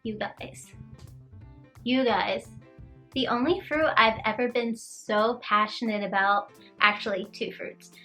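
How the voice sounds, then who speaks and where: A woman's voice: on the high side, slow, sharp tone, faint music behind. A young woman from Georgia, chatting casually to camera in a home kitchen, rambling and giggly. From Georgia, USA. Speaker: lifestyle vlogger. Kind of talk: casual vlog chat to camera.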